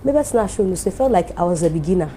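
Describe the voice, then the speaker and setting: A woman's voice: on the low side, expressive, rapid-fire, mellow, a little hiss or hum. A Ghanaian woman hosting a TV show, giving an emphatic, heartfelt speech that mixes English and Twi.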